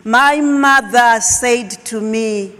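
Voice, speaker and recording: A middle-aged woman from Zimbabwe, a Shona speaker, telling her personal story as a keynote speaker with emphatic pauses. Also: fairly high pitch, fairly bright tone. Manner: slow, emphatic, dramatic pauses. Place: large auditorium stage. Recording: long hall reverb.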